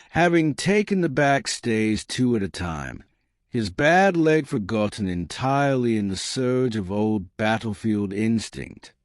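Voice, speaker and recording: A man reads historical fiction with a measured, dramatic touch. His voice sits on the low side and moves very slowly, rising and falling expressively. The sound is dry and free of room echo. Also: clear, resonant tone. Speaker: audiobook narrator. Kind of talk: scripted dramatic storytelling narration of historical romance.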